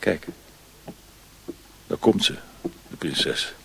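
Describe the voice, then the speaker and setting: A man's voice: drawn-out words, noisy background. An actor playing a cynical, world-weary disgraced officer with an opium habit in a radio drama, grumbling as he talks. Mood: weary, irritated.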